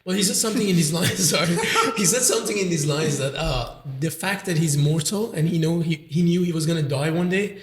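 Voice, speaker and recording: A young man of nineteen talks loosely on a podcast, his voice sitting a little high. He circles his point with fillers and false starts. The room around him rings with echo. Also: fast, hardly any pauses, a little hiss or hum. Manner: rambling, many fillers and restarts. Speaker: podcast host. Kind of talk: casual chat. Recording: echoey room.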